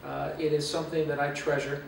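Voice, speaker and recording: A man's voice: fairly high pitch, little pitch movement, brisk, gravelly, a little hiss or hum. Reflective man speaking in an echoey hall. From Los Angeles, USA. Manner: earnest, reflective, with frequent "uh" fillers. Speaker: guest speaker, university president. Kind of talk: personal talk to a church gathering. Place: meeting room or church hall. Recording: echoey room, mic far away.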